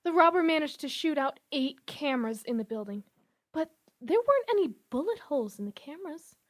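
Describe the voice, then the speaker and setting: A woman playing a flustered high-voiced bank manager. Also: big pitch swings, brisk. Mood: earnest. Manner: earnest, talkative, easily flustered. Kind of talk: amateur 1930s-style radio drama parody.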